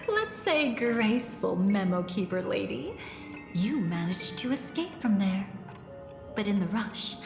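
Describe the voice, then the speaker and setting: A mysterious, elegant young schemer from a video game. She speaks with sly, playful deliberation. Her mid-pitched voice rings in heavy echo, with music strongly underneath. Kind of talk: scripted game dialogue.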